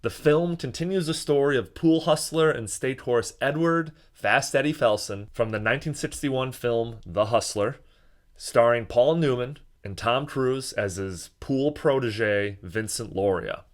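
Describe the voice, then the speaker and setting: A man's voice: mid-range voice, measured pace. A man hosting his podcast alone, talking in a chatty, jokey way with casual swearing, recorded on a small microphone in an echoey hotel room. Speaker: podcast host. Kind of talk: casual solo podcast monologue.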